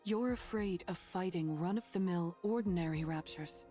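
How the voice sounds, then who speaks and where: A woman playing a stern, protective female android soldier in an anime game dub, speaking in a measured, grave, firm way. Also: on the low side, warm tone, soft background music, natural voice. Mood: stern, resigned.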